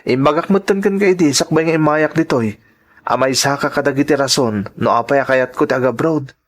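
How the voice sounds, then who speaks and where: A man's voice: medium pitch, fairly quick, close to an everyday voice. A man with an Ilocano accent playing an earnest, devoted young son working abroad, in sentimental radio drama style. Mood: earnest, promising.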